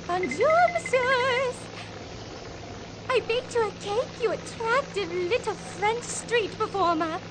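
A woman's voice: very high-pitched, lively intonation, takes it slowly, noisy background, heavily acted. A woman voicing a prim aviator with a fierce temper in a video game, theatrical and able to turn menacing. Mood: sweet, coy.

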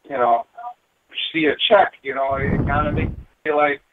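A man's voice: on the high side, normal speed, noisy background. Earnest man on a thin phone line. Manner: earnest, repeats "you know". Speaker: guest, building engineer. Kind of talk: casual interview talk.

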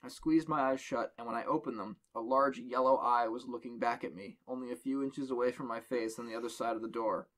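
Man with mid-pitched voice, sarcastic commentary. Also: fairly even intonation, a little fast, hardly any pauses, a bit bright, low-quality recording. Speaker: podcast co-host. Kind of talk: casual chat.

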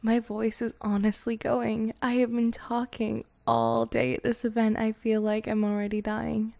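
A woman voicing a devoted vampire girlfriend in an intimate ASMR roleplay, breathy and often slipping into a whisper. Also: a little high, flat delivery, no echo. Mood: weary, playful. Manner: slow, intimate, hushed, sighing. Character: devoted, lovestruck vampire bride, tipsy and intimate.

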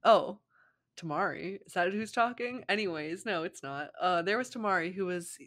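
A woman's voice: fairly low pitch, moderate pace, fairly bright tone. A young woman reading fan fiction aloud as a co-host, casually and theatrically, with playful, giggly banter.

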